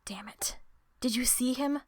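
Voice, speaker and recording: A woman acting in an audio drama as a sharp-tongued, distrustful team member who swears and confronts people. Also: fairly high pitch, a little slow, natural voice. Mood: impatient, worried. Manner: profane, confrontational, sarcastic. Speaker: voice actor in an audio roleplay.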